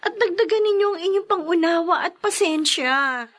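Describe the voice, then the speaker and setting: A woman's voice: very high-pitched, expressive, normal speed, fairly bright tone. A woman playing a pleading, peacemaking Filipino wife in an audio drama. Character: pleading, peacemaking married woman torn within family. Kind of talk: Filipino radio-style drama acting.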